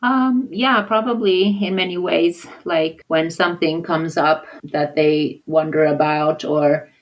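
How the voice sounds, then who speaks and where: A woman's voice: deep voice, measured pace, lo-fi sound. A woman who grew up in Papua New Guinea, a podcast guest speaking in a thoughtful, hesitant way with many restarts. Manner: lively when acting out conversations. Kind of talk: casual interview talk.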